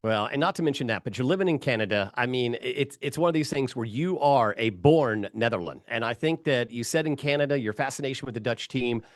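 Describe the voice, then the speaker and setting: A man's voice: medium pitch, fairly quick, few pauses, dry recording. A man hosts a sports interview podcast, his voice rising and falling a lot as he asks his questions. He leans hard on key words, and his voice is dry and close to the mic. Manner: animated, eager questions with emphatic stresses. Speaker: podcast host.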